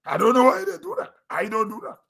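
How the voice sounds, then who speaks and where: High-voiced Grenadian man ranting casually. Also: sharp tone. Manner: rambling, conversational, with throat-clearing and restarts. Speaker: online show host. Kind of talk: casual opinion monologue.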